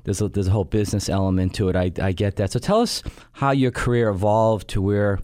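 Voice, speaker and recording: A middle-aged man hosts a radio show in a chatty, rambling way, full of ums and restarts. His voice sits low. The studio sound is dry and close. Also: fast, darkish tone, dry recording. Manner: rambling, many fillers and restarts. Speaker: radio show host and company head. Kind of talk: casual radio chat and interview. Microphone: studio microphone.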